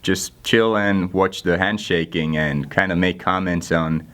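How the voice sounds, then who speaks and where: A man's voice: deep voice, fairly even intonation, unhurried, fairly bright tone. A young man from Finland with a Finnish accent, a native Finnish speaker, answering interview questions casually with dry, self-deprecating humor. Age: young adult. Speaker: guest intern.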